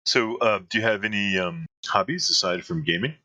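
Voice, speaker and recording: A man hosting an interview show, upbeat and asking quick questions. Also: fairly low pitch, sharp tone. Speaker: podcast host. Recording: dull lo-fi audio.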